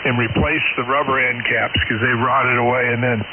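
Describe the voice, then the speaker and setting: A man's voice: medium pitch, steady tone, moderate pace. A man chatting casually as an amateur radio operator, dry and teasing, heard through a thin, hissing shortwave radio signal. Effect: received over shortwave radio. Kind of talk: casual ham radio chat. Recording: hissy, narrow, phone-like shortwave radio signal.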